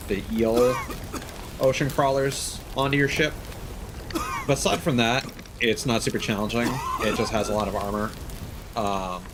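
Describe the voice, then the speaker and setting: Male streamer, mid-pitched with animated intonation, casual gameplay chat with exasperated swearing, clear rain-like noise behind. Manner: frequent swearing, exasperated grumbling. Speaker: video game streamer.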